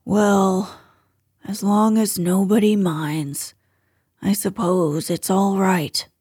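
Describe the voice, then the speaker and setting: A woman voicing a gloomy, self-pitying old male donkey from a children's storybook, grumbling slowly and with resignation. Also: mid-range voice, fairly even intonation, darkish tone, slightly rough, no room sound, studio quality. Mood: mournful, grumbling.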